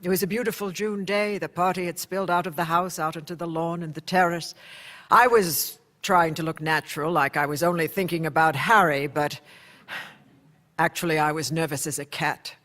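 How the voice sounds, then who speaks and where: An actress as a middle-aged woman publisher in a radio drama. She narrates in a clear-edged bright voice, reflective and candid, with poise and quiet resolve. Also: on the low side, steady tone, normal speed, natural voice. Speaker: narrator and newspaper publisher character.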